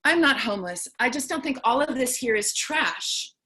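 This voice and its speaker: A woman's voice: high voice, lively intonation, normal speed, pushed and bright. A woman playing a quirky, earnest working woman who treasures junk, exclaiming wide-eyed in a staged play reading. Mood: defensive.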